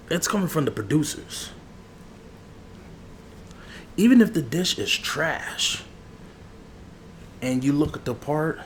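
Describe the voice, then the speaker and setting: A man chatting casually in slang as an ASMR barber, rambling in a familiar way. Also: mid-range voice. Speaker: ASMR barber roleplay creator. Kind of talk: ASMR roleplay with casual chat. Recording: clear background noise.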